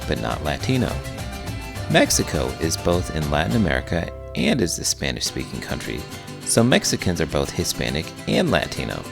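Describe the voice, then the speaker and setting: A man doing an expressive, scripted read-aloud of a children's book. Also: extremely low pitch, creaky. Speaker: teacher reading children's books aloud.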